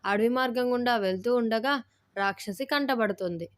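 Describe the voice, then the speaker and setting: A woman's voice: on the high side, fairly quick. A woman narrating a scripted story as its storyteller.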